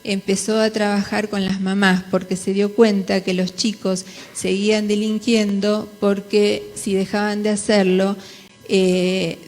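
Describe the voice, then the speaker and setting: A woman with an Argentine Spanish accent giving a conference presentation in a measured, hesitant manner. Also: medium pitch, level tone, darkish tone. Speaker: conference speaker, jurist. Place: large conference hall. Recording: reverberant hall.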